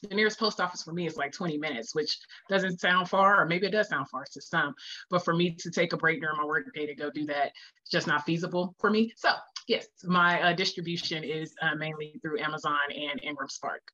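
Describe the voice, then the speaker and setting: A woman with a bright, forward voice chats as an author being interviewed. She is candid and acts out her own thoughts as she goes. The audio is somewhat dull and lo-fi. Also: sits low, fairly even intonation, brisk. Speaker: guest, children's book author. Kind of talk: interview answers, casual chat.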